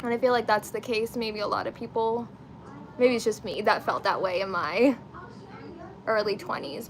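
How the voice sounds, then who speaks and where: A woman's voice: a little high, fairly bright tone, a little hiss or hum, rough recording. A woman in her late twenties chatting casually and informally to viewers on a get-ready-with-me livestream, using slangy language. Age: twenty-nine. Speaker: beauty and lifestyle live streamer.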